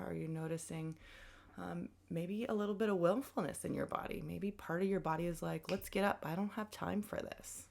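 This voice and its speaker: Low, creaky-voiced woman guiding meditation. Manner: gentle, invitational, conversational phrasing. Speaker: meditation guide, counselor. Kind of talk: guided meditation instruction.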